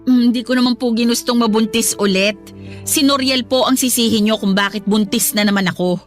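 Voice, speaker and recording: A woman's voice: fairly high pitch, fairly quick, faint music behind, lightly acted. A woman playing a meek, put-upon young pregnant daughter-in-law in a radio drama, polite and plaintive, with a Filipino accent. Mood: meek, defensive.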